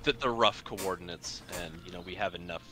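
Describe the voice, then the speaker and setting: A man with a very low voice and a sharp, bright edge to it, roleplaying his tabletop character. He talks haltingly, full of fillers and restarts. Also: soft background music. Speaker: tabletop player.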